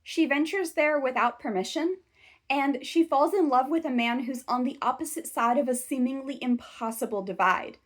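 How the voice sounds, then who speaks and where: A woman hosting a scripted commentary video, talking in a measured, analytical way. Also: sits high. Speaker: video essay host.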